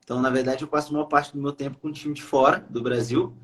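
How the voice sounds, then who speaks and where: A man's voice: medium pitch, steady tone, fast talker. A young Brazilian man from Brazil, a podcast guest speaking casually with many fillers and hesitations. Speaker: podcast guest, iOS developer. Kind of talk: casual interview chat.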